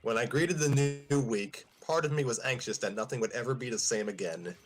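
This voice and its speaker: A man's voice: mid-range voice, a little fast. A man hosting a casual group podcast, chatting and reading fan fiction aloud.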